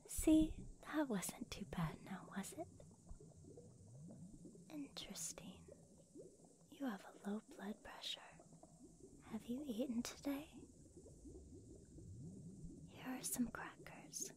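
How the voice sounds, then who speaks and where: Casting: actress as gentle young female demon-slayer medic, whispered ASMR delivery, unhurried and soothing. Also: a little high, expressive. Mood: soothing, attentive.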